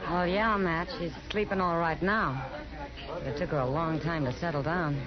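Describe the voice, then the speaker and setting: A woman's voice: lowish voice, steady tone, moderate pace, music in the background, lightly acted. An actress playing a concerned, worldly frontier woman, natural and frank in a 1950s radio western. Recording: muffled vintage radio recording, background crowd murmur.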